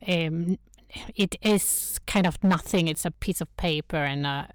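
A woman with a Swedish accent chatting as an interview guest, searching for words in halting English. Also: on the low side, dry recording, studio quality. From Sweden. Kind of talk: casual interview chat.

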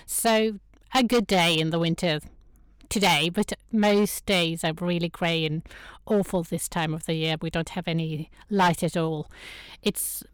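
A woman with a Swedish accent chatting as an interview guest, searching for words in halting English. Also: fairly low pitch, fairly even intonation, clean tone, no echo. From Sweden. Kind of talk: casual interview chat.

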